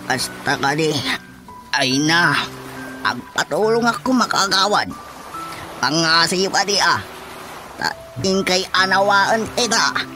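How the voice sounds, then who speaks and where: An older male villager, an aggrieved father, complains in a notably high voice. He is plaintive and grumbling, in the manner of Ilocano radio comedy, over soft music. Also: animated, takes it slowly, long pauses, poor audio. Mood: pleading. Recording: faint music under the dialogue. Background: faint background music.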